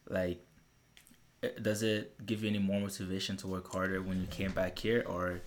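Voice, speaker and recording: A man's voice: low voice, little pitch movement, moderate pace. A young man hosting a casual podcast, asking questions and nudging his guest along. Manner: easygoing, prompts with questions and "mm-hmm". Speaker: podcast host. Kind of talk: casual interview chat.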